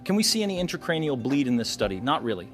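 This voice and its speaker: A man's voice: medium pitch, very animated, quick pace, a bit bright, faint music behind. A man narrating an explanatory case walkthrough as a radiology instructor, posing questions to the viewer as he teaches. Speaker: radiology instructor presenting cases.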